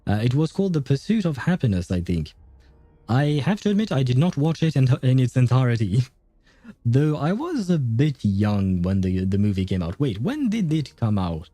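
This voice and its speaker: A man's voice: medium pitch, dark tone, no echo. A man chatting casually on a livestream in a rambling, easygoing, chuckling way. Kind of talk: casual livestream chat about games and life.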